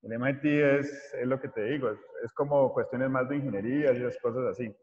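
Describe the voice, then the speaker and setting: A man, giving an informal online lecture as a mathematics teacher, haltingly searching for words. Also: mid-range voice, fairly even intonation, a little mellow. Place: small indoor room, video call. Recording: muffled, echoey room over video call.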